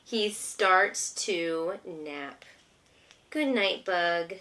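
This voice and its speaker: A woman's voice: lowish voice, very slow, sharp tone. A woman, a homeschooling mother, reading a picture book aloud to her young child with patient, encouraging, simple questions.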